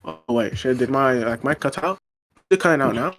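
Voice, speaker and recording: A man's voice: medium pitch, brisk, fairly bright tone, a little hiss or hum. A man in a casual online debate, arguing his point with frequent fillers and restarts. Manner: argumentative, lots of fillers and restarts.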